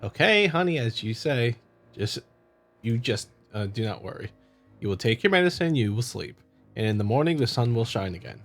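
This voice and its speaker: A man playing a smooth, scheming visual novel husband who feigns loving concern, coaxing and patronizing. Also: mid-range voice, sing-song, normal speed, faint music behind. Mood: soothing, coaxing.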